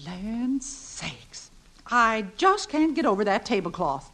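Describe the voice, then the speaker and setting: An actress playing a blunt, wisecracking, folksy housekeeper in a 1950s radio comedy. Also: female voice, fairly high pitch, big pitch swings, slow pace, a bit bright, heavily acted. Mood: wry, folksy. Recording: noisy vintage radio recording, clicks.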